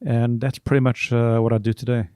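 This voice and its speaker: A middle-aged man with a softened South African accent, answering interview questions casually in measured, halting phrases. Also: mid-range voice, little pitch movement, clear, resonant tone, no echo, studio quality. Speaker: podcast guest, music arranger.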